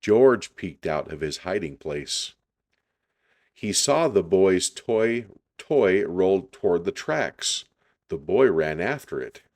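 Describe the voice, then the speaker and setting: A man reading a children's story aloud in an unhurried, deliberate storytelling style. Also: sits low, lively intonation, a little mellow.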